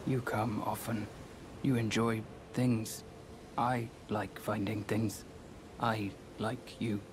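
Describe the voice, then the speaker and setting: A man voicing a simple, childlike, friendly fellow in short halting sentences for a fantasy game. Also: fairly low pitch, little pitch movement, background noise. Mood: placid, friendly. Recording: loud music behind.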